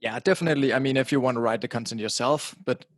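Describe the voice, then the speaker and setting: A man's voice: medium pitch, fast, no room sound, clean studio sound. Danish man chatting casually on a podcast. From Denmark. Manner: casual, repeats words at the start of phrases, laughs now and then. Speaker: guest, website publisher. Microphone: directional podcasting mic close to mouth.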